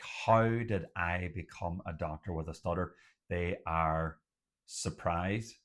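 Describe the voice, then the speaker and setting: A young man, a doctor who stutters, talking to camera about his own stuttering in a measured, deliberate way with occasional stutters. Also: deep voice. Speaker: doctor and community host. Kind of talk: personal talk to camera.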